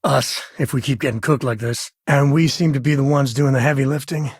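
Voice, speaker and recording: An actor playing a wry, plain-spoken frontier space ranger in a video game, with a husky, raspy voice and folksy, sardonic phrasing. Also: male voice, mid-range voice, fairly even intonation, fairly quick, dry recording, studio quality, lightly acted. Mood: wry, easygoing. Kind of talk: video game character dialogue.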